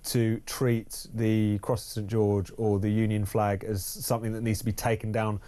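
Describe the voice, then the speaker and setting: A man's voice: fairly low pitch, little pitch movement, normal speed, dark tone. A man giving opinionated news commentary as a studio guest, articulate and dry. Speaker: magazine editor, studio commentator.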